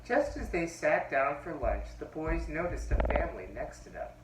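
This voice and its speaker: A man reads a children's picture book aloud, expressive and full of rises and falls. He sounds far from the microphone in an echoey room, with a faint hum underneath. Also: a little high, moderate pace. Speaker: parent reading aloud. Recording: roomy echo, far from the mic, faint hum.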